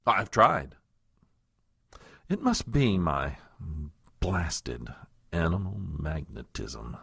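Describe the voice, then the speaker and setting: A man's voice: low-pitched, very animated, extremely slow, creaky, fairly bright tone, slightly rough, dry recording, studio quality, exaggerated voice. An actor playing a self-important, married political aide in an audio comedy, nervously stammering and stiffly formal as he fends off trouble. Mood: weary, exasperated. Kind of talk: comedic audio drama acting.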